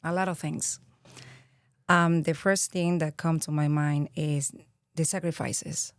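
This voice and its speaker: A low-pitched woman with a Venezuelan Spanish accent talks slowly and earnestly, pausing often to think. Her voice sits dry and close to the mic. She sounds like a podcast guest reflecting in her thirties. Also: fairly even intonation, smooth, dry recording. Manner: reflective, earnest, with frequent pauses and fillers. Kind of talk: interview conversation.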